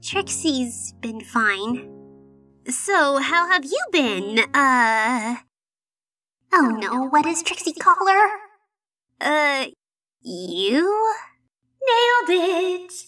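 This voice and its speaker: A woman's voice: sits high, very animated, extremely slow, pauses often, bright, forceful tone, dry recording, studio quality, faint music behind, full character voice. A woman voicing a dramatic, self-important but tender young female unicorn pony in a cartoon comic dub, with a theatrical manner. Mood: flustered. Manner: theatrical, speaks of herself in third person. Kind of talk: animated comic dub acting.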